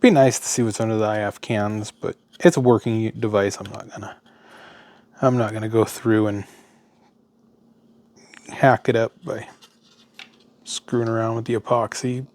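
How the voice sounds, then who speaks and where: A man's voice: on the low side, steady tone, moderate pace, long pauses, a little mellow, dry recording. An American man giving casual, unscripted commentary as he works at a bench, muttering to himself in short, trailing remarks. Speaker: host of an electronics teardown video. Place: indoors at a workbench. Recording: clearly noisy home recording, sometimes off-mic.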